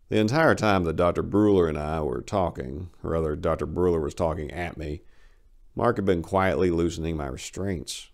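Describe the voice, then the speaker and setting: Very deep, gravelly male story narrator. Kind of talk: dramatic first-person story narration.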